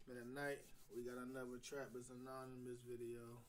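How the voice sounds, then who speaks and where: A man gives casual, off-the-cuff commentary as a show host. His delivery is slangy and opinionated, often repeating phrases as he works through a point. His pitch sits in the middle, and clear noise runs behind him. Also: flat delivery, fairly quick, far from the mic. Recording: clearly noisy background.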